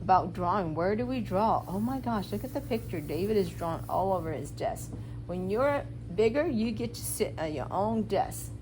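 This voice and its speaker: A woman with a mid-pitched voice teaches preschoolers through a picture book. She is animated and instructive, pausing to ask simple questions and explain rules. Clear noise sits behind her voice. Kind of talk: interactive picture-book read-aloud for young children. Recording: clearly noisy room recording.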